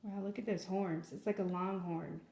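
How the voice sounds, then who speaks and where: A woman's voice: mid-range voice, steady tone, moderate pace, echoey room, background noise. A woman hosting a children's read-aloud, chatting casually in an encouraging, exclaiming way. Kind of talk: casual chat addressed to children.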